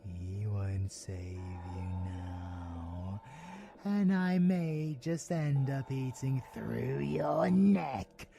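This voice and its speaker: Casting: a predatory inhuman villain for ASMR drama; extremely slow, gravelly creak, mocking menace, breathy whispers, music underneath. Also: male voice, mid-range voice, mellow. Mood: taunting, sadistic. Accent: British. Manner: slow, theatrical, drawn-out phrasing with breathy whispers. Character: predatory male vampiric abomination, menacing, mocking, savoring. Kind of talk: villain ASMR audio roleplay.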